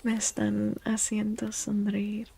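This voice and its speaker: A woman streaming soft-spoken ASMR, gently chatting in a breathy, often whispery voice and softly repeating phrases in a soothing way. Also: mid-range voice, completely flat delivery, unhurried.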